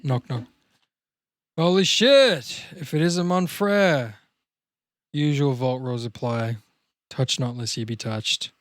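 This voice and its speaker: A man's voice: mid-range voice, expressive, takes it slowly, pauses often, dry recording, studio quality. A man playing a devastated, freshly dumped young slacker with a downcast, wisecracking manner in a table read of a film comedy. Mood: glum, sardonic.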